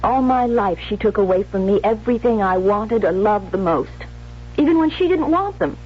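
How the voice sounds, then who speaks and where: An actress playing an emotional, resentful young bride-to-be with an American accent in a theatrical 1970s radio drama. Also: female voice, mid-range voice, animated, normal speed, a little hiss or hum, close to an everyday voice. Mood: angry, accusing. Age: young adult. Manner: intense, emphatic, theatrical. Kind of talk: 1970s radio drama acting, dialogue and confessional narration. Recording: muffled old radio broadcast, faint music.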